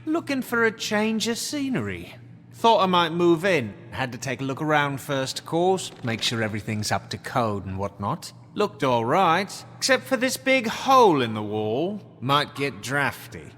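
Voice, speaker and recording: An actor playing a cheeky, defiant suspect in a video game, flippant and sarcastic. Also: male voice, on the high side, very animated, normal speed, fairly bright tone. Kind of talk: video game dramatic acting. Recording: long hall reverb, music behind.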